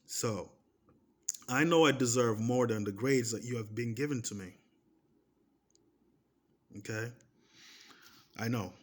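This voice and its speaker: A man in his thirties speaking English with a Portuguese accent, his first language being Portuguese. His voice sits at an everyday middle pitch. He talks to camera in a casual, emphatic way, repeating himself and stacking restarts as he drives each point home. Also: takes it slowly, long pauses. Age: 30s. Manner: emphatic and repetitive, restarting phrases and pressing points with "okay?". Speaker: vlogger. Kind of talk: casual personal monologue to camera.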